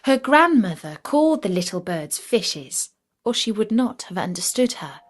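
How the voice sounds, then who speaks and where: A woman reading a fairytale as a storyteller, in an expressive, measured storybook manner. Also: medium pitch. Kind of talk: scripted fairytale narration.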